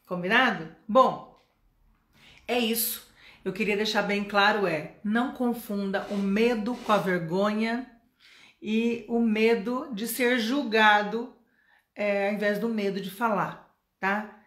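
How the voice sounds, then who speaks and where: A Brazilian woman in a middle pitch leads a live-streamed English lesson. She talks in a chatty, encouraging way and keeps checking in with her viewers. The sound is roomy, with echo around her voice. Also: slow, a bit bright. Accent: Brazilian Portuguese. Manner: chatty and encouraging, asks "né?" and "tá?" often. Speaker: English teacher.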